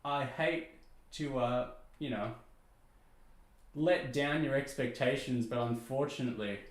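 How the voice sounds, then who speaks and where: A man with a low speaking voice chatting casually and self-deprecatingly to his viewers, rambling with lots of fillers. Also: unhurried, echoey room, low-quality recording. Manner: easygoing, rambling, self-deprecating, many "you know" and "uh" fillers. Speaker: VTuber streamer. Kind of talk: casual chat to stream viewers while restringing a guitar.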